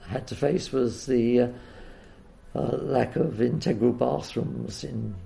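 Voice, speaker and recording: Old guy, croaky gravelly voice, slow, lots of uhs and ums, mellow tone. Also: medium pitch, steady tone, slight background noise. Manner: hesitant, full of uhs and ums. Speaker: interviewee. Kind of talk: oral history reminiscence.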